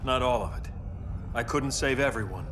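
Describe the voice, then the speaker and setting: A man's voice: mid-range voice, normal speed, background noise, close to an everyday voice. A man playing an embittered, righteous superhero in a video game, speaking with uncompromising, emphatic intensity. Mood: somber. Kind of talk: cinematic video game voice acting.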